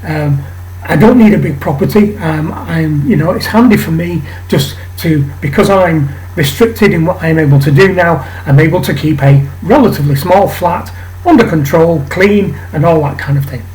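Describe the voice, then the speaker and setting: A man from England with a raspy voice today, hosting a rambling casual monologue to camera with many ums and restarts. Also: a little high, moderate pace, darkish tone, rough recording. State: raspy voice, coughing. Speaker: channel host. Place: home flat.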